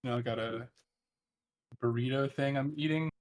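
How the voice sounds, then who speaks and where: A man's voice: mid-range voice, steady tone, moderate pace. Tired easygoing man player. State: tired after work. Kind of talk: casual chat.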